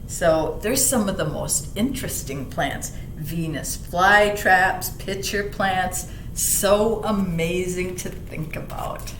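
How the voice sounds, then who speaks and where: Female library story reader for kids, slow explanatory delivery, mid pitch, echoey recording with slight rumble. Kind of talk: read-aloud children's lesson with explanations. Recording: roomy echo, faint rumbling background noise.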